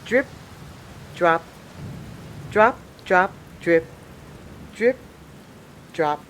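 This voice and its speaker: A woman reading a children's picture book aloud as a storyteller, in an expressive, deliberate way. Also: fairly low pitch, slight background noise, poor audio. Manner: deliberate, expressive storybook delivery with acted sound words.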